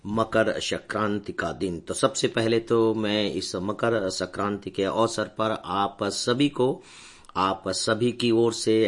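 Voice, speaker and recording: An older man with a hoarse voice giving a measured, warm motivational talk to his students. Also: lowish voice. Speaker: educator addressing students.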